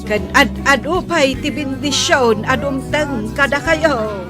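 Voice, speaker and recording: Woman playing a doting mother, slow delivery, Ilocano accent, radio drama, music behind. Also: high-pitched. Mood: proud, blessing.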